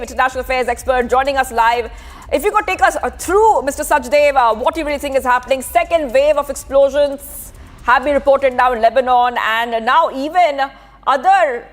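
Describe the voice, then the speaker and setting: Indian lady presenting live news, pretty high voice. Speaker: news anchor.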